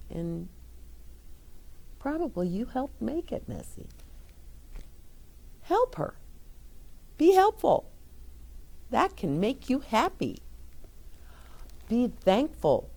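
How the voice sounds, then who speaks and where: A middle-aged woman reading a picture book aloud and chatting gently and encouragingly to young children. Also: mid-range voice, gravelly. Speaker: children's storyteller. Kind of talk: read-aloud story time with chat to children.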